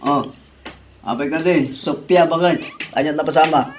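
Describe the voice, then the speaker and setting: A man's voice: on the high side, normal speed, slight background noise, natural voice. A man voicing a thrifty husband in a radio drama, chuckling and defensive about his bargains. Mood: casual.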